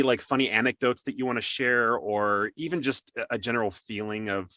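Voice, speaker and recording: Guy hosting a chatty interview show, bright crisp tone, super dry sound, really encouraging. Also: mid-range voice, no echo. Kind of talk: casual remote interview.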